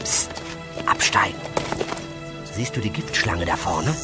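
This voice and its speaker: A male actor playing an eager, excitable, conspiratorial heavenly assistant in a radio comedy. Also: deep voice, unhurried, loud music behind the voice.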